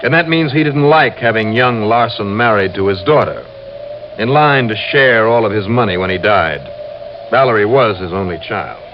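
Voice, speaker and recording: A man's voice: fairly low pitch, moderate pace, noisy background, close to an everyday voice. An actor playing a tough, determined male investigator in an old-time radio drama, narrating his case in a clipped, dramatic, hard-boiled manner. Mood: insistent. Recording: muffled vintage radio recording, dramatic music behind.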